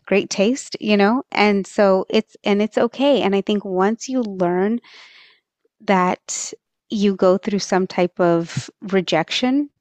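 A woman's voice: medium pitch, no room sound. Earnest woman guest, dry close sound. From Texas. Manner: earnest, thoughtful, with frequent fillers. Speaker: interview guest. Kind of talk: reflective conversational advice.

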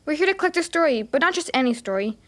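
A plucky young girl with a high voice. She sounds earnest and a little bossy, the brave leader in a children's adventure drama. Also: lively intonation, racing. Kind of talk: children's audio drama acting.

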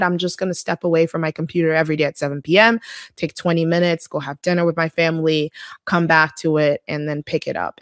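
A woman's voice: low-pitched, no room sound, clean studio sound. A woman hosting a solo business podcast, talking in a conversational, practical way with frequent fillers. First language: not Korean. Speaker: podcast host.

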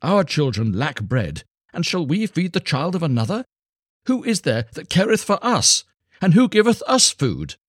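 A man voicing a shrill, fretful peasant wife. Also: big pitch swings, moderate pace, no room sound, clean studio sound. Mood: bitter. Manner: complaining, rising to shrill protest. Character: poor woodcutter's wife, sharp and fretful.

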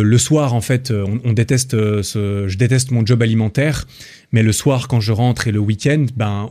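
A young man from France hosting a solo podcast, talking in a relaxed, rambling way with lots of fillers and restarts. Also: mid-range voice. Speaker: podcast host. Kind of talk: casual solo podcast monologue.

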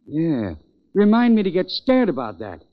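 A man's voice: fairly high pitch, huge pitch swings, normal speed, dry recording. An actor playing a suspicious, threatening gambling crook in a 1940s radio crime drama, terse and full of tough-guy slang. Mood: wary, tough. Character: suspicious, menacing gambling crook holding a gun. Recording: dull, dry old radio recording.

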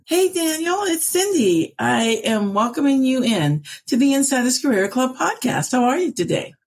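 A woman's voice: high-pitched, big pitch swings, moderate pace, husky. A woman from Northern California who hosts a career podcast and coaches, speaking in an upbeat, encouraging way. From Northern California, USA. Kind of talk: podcast hosting and ad read.

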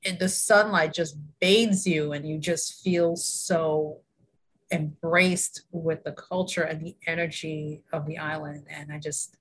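Interview guest: husky, lower-pitched woman in her forties, earnest and chatty. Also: fairly even intonation, slow, poor audio. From New York, USA. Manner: warm, earnest, laughs often, many fillers. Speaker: guest, health education consultant. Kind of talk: casual interview conversation.

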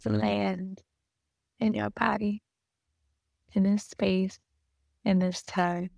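A woman guiding a meditation in a soothing, gentle manner, giving unhurried instructions with long pauses between short phrases. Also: mid-range voice, level tone, gravelly, no echo, highs cut off. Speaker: meditation guide. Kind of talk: guided meditation narration.

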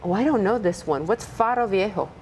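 A woman's voice: mid-range voice, lively intonation, moderate pace, darkish tone, a little hiss or hum. A woman hosting a podcast interview, switching between English and Spanish as she asks quiz-style questions. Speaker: podcast host. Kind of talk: casual bilingual interview.

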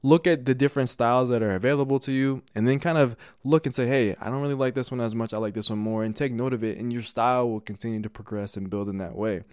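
A man's voice: medium pitch, fairly even intonation, fast, hardly any pauses, a little mellow, smooth, no room sound, clean studio sound. A man hosting a casual interview, upbeat and encouraging. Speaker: host.

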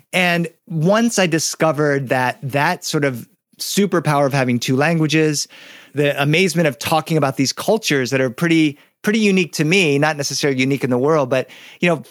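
A man who is a guest storyteller and educator, talking through his story in a relaxed interview, animated and anecdotal, with fillers and restarts. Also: a little high, no room sound, clean studio sound. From United States.

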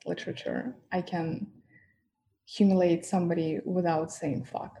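A woman's voice: fairly low pitch, fairly even intonation, moderate pace, warm tone, a little hiss or hum. A woman with a Russian accent, a guest talking frankly and with lots of laughter about her work, her first language Russian. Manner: frank, laughs often, mock-degrading asides. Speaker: guest, professional dominatrix. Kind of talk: candid interview chat.

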